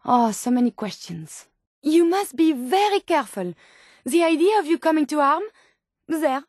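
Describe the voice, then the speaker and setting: A woman's voice: sits high, big pitch swings, normal speed, a little mellow, no echo, clean studio sound. An actress voicing a polite, curious and tender female robot scientist in a video game, with a French accent and precise, formal phrasing. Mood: curious, prim, then tender, smitten. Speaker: video game companion character. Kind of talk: video game companion dialogue.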